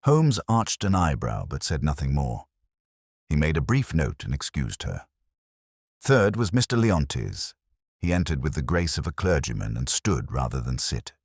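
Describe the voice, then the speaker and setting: A man's voice: extremely low pitch, sing-song, a little slow, husky, dry recording, studio quality. A man reading a mystery story as scripted audiobook narration.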